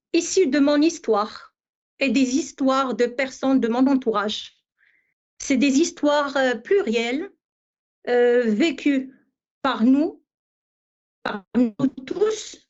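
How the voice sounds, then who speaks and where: A woman in her fifties from Morocco, a poet answering interview questions hesitantly, with many pauses and fillers. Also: high voice, darkish tone, highs cut off. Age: 50s. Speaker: poet guest.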